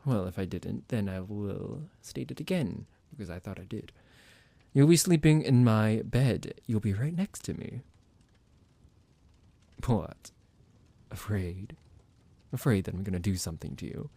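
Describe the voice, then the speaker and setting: A man acts out a psychotic vampire doctor for an ASMR roleplay, in a low voice with gravelly fry, recorded very dry and close. He is condescending and possessive, giving orders softly and formally with quiet menace. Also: lively intonation, a little slow, long pauses, dry recording, heavily acted. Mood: menacing, teasing.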